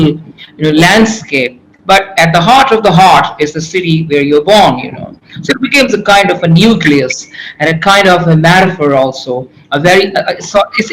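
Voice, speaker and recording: A middle-aged man with a Pakistani English accent speaks in a somewhat raised pitch, circling his thoughts with stops and repeated words. He leans on "you know" and "you see" as he goes. A faint hiss of ambient noise sits under the voice. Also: poor audio. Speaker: poet and English professor, guest. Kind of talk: reflective interview answers.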